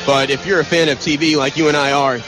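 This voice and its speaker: A man's voice: fairly high pitch, steady tone, fast, music in the background. A man doing live play-by-play basketball commentary for radio, with a clipped delivery. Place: live from a college basketball arena. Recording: noisy arena radio broadcast.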